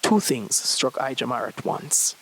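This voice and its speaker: Story reader: young adult male, medium pitch, scripted literary narration. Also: lively intonation, a little slow, vocal fry, fairly bright tone, husky, low-quality recording.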